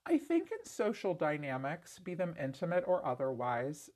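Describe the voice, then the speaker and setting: A woman doing a casual tarot reading, congested and a bit raspy, talking in a chatty way full of "like" fillers. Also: low-pitched, wildly swooping pitch, normal speed, darkish tone. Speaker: tarot reader.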